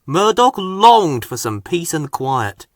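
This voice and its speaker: A man reading a children's story as narrator, in a measured, expressive way. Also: on the high side, no room sound, studio quality. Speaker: storybook reader.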